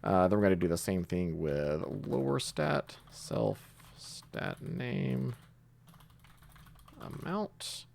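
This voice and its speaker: A man giving a casual screencast coding tutorial, talking haltingly as he thinks aloud while typing. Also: on the low side, creaky, darkish tone, a little hiss or hum. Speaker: programming tutorial host.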